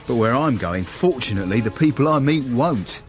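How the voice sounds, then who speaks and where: An actor playing a smug, courteous British manservant turned gentleman, telling his story in a genteel, formal way in a radio period drama. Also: mid-range voice, lively intonation, normal speed, lightly acted. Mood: proud, pompous. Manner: genteel, self-satisfied, formal wording. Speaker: narrator and lead character.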